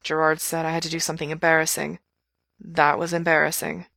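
A shy young male caller acted by a woman narrator in an audio story. He talks quietly and haltingly, with a deadpan, understated manner. The sound is dry and close. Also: low voice, creaky, dry recording, full character voice. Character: shy, hesitant young man phoning a radio show.

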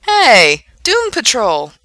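A woman's voice: on the low side, normal speed, sharp tone, dry recording, clean studio sound. A woman voicing an awkward, earnest young man who is a comic book geek, as dialogue in an audiobook. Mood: keen, earnest.

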